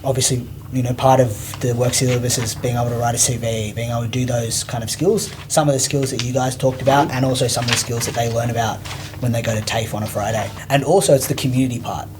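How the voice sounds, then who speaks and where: A man's voice: medium pitch, steady tone, fast, noisy background. A man working as a teacher, talking casually and earnestly with many fillers. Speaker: work experience teacher. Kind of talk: casual conversation.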